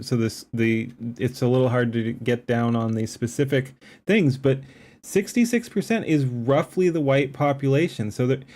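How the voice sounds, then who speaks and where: A man's voice: medium pitch, a little mellow. Rambling, emphatic male streamer. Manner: rambling, emphatic, many fillers and restarts. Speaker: streamer reacting to a debate clip. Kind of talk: casual live-stream commentary.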